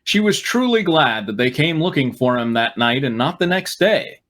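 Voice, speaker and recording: A man's voice: on the high side, sing-song, normal speed, fairly bright tone. A man reading fan fiction aloud as a comic host, narrating mock-dramatically and breaking into wry asides. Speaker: reader and riffing co-host.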